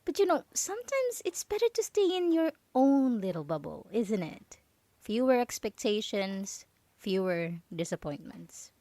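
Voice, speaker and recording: A woman playing a possessive yandere roommate in an ASMR roleplay, coaxing and halting, with nervous, feigned innocence and false sweetness. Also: mid-range voice, very animated, mellow, dry recording. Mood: needling sympathy. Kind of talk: ASMR roleplay audio drama.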